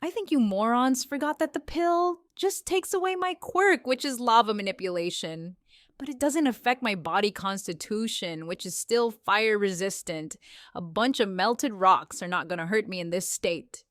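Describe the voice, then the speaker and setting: A woman voicing a brash, sharp-tongued mother in a comic dub, blunt and sardonic. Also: mid-range voice, normal speed, dry recording, studio quality, natural voice. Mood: smug.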